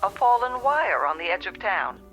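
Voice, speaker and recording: A patient, polite female operator, in a vintage television drama. Her bright, measured voice comes through a telephone with faint music behind. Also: mid-range voice, sing-song. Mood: matter-of-fact.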